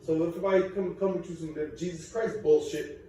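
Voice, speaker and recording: Mellow-voiced man in an echoey room. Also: a little high, fairly even intonation, brisk. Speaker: class participant. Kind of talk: casual group discussion. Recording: echoey room, distant mic, ambient noise.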